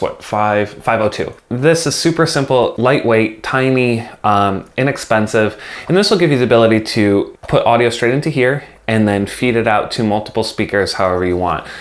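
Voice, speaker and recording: A man's voice: medium pitch, expressive, normal speed. A man talking casually to camera as a video host, explaining things in an informal, conversational way. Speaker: video host, music video filmmaker. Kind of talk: casual instructional vlog talk to camera.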